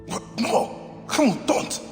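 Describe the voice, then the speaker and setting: A man's voice: slow, a little mellow, soft background music, lightly acted. An actor voicing an earnest, intense warrior father bent on protection and justice in a game. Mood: desperate pleading. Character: desperate, vengeful warrior father, protector of his town. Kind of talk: dramatic game cutscene acting.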